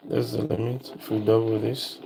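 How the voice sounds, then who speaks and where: Low-ish gravelly guy casually talking thru an electronics demo, faint music behind. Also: little pitch movement, poor audio. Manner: thinking aloud in short halting phrases. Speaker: electronics hobbyist presenter. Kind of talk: casual unscripted demonstration talk.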